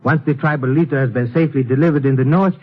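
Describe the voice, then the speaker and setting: A man playing a careful, solemn shopkeeper informant in a 1950s radio drama, formal and measured in manner. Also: mid-range voice, close to an everyday voice. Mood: solemn, informative. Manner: formal, measured, avoids contractions. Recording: tinny, thin old radio recording.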